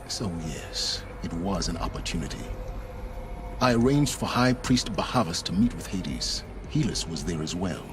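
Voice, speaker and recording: An actor playing a cold, arrogant male scholar and fugitive schemer in a video game, speaking in a measured, aloof and precise way. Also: low voice, lively intonation, noisy background. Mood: proud, unrepentant. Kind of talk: video game cutscene acting. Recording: music under the voice.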